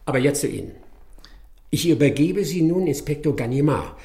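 An actor playing a dry, courteous, self-assured English detective in a German radio crime comedy, speaking formally and precisely. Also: male voice, on the high side, expressive, normal speed, mellow. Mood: businesslike. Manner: formal, precise, dryly ironic. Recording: roomy, distant from mic, faint music.

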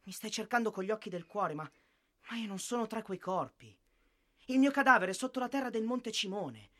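A man's voice: high voice, animated, brisk, fairly bright tone. An actor playing a dead young soldier, bitter and disillusioned, declaiming to his mother in a radio drama. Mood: bitter, accusing. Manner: declamatory, emphatic, dramatic phrasing. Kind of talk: theatrical radio drama monologue.

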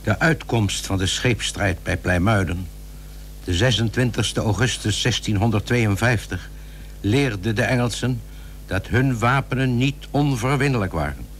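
Low-voiced formal male narrator. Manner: formal, measured chronicle telling. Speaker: narrator of a historical radio play. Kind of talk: scripted narration, archaic literary wording. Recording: old radio recording with faint hum.

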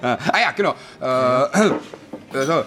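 A man's voice: mid-range voice, lively intonation, measured pace, lightly acted. An actor playing a chummy, shady man in a radio drama, rambling through instructions with tag questions, with an Austrian accent. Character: chummy, shady male schemer giving instructions.

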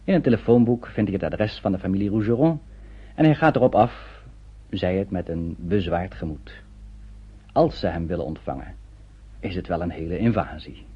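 A man narrating a radio drama from a script in a husky voice. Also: deep voice, moderate pace. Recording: thin, phone-like old radio recording.

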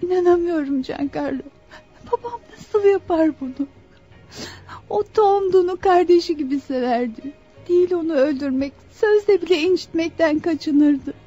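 An actress playing an anxious, devoted young bride-to-be in a Turkish radio play, with theatrical, pleading delivery. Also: female voice, very high voice, normal speed, mellow, faint music behind. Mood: tearful, pleading. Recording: dull lo-fi radio drama recording.

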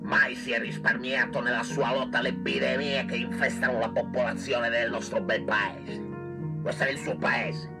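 A man's voice: mid-range voice, fairly even intonation, normal speed, gravelly, loud music behind the voice, close to an everyday voice. A man playing an exasperated, pompous small-town doctor in a radio play, with a theatrical, grumbling, oratorical manner. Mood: solemn, pompous. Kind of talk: Italian radio play acting.

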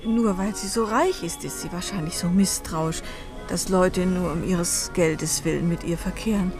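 A woman's voice: fairly low pitch, fairly even intonation, normal speed, music in the background, natural voice. An actress playing an anxious, downtrodden middle-aged woman in a German radio play, speaking in a hesitant, earnest, pleading way. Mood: worried, pleading. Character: anxious, downtrodden forty-year-old female companion.

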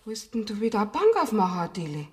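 A woman's voice: mid-range voice, big pitch swings, fast talker, close to an everyday voice. An actress playing a practical married woman in a radio play, plain-spoken, with a Bavarian accent. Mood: worried. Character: practical, worried married woman friend, expecting a child. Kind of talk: German radio play acting. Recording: reverberant 1970s radio drama studio.

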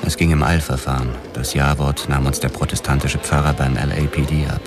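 An actor playing a brooding, world-weary detective who narrates a radio drama in a measured, sombre manner. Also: male voice, extremely low pitch, little pitch movement, music in the background. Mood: reflective. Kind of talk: scripted first-person radio drama narration.

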